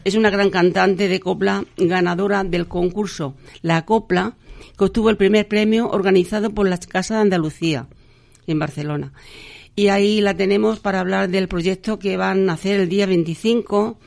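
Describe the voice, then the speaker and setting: A woman hosting a live radio show, chatting through announcements in a halting way, with frequent restarts and fillers. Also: mid-range voice, steady tone, vocal fry, darkish tone.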